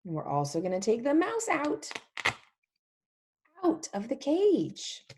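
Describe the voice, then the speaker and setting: A woman leading an interactive storybook read-along for young children, animated and emphatic, using simple repeated phrases and questions. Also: fairly high pitch, takes it slowly, noisy background. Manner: animated, emphatic, often drops to a whisper.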